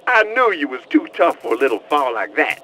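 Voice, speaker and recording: A man's voice: a little high, big pitch swings, brisk, bright, forceful tone, a little hiss or hum. A man playing a cocky, wisecracking young male hacker in a video game, with a sassy, slangy, teasing way of talking. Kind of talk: video game cut-scene acting.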